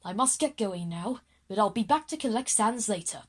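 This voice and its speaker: Woman doing a polite formal gent talking to schoolkids, audio drama, bit raspy. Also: mid-range voice, animated, normal speed. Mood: courteous. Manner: courteous, formal. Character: polite, formal gentleman delivering news to a class. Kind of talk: scripted audio drama dialogue.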